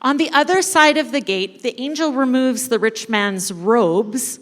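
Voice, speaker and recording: A woman giving a playful, dramatic live narration of a story, pausing deliberately as she leads an interactive reading. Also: on the high side, animated. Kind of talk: theatrical live scripted narration of a parable. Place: large indoor church space before an audience. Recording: echoey hall with long reverb.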